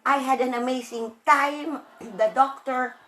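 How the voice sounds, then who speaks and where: A woman in her late thirties with a loud voice, talking in an emphatic, emotional and rambling way in a casual vlog. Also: high voice, fairly even intonation, measured pace. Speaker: vlogger.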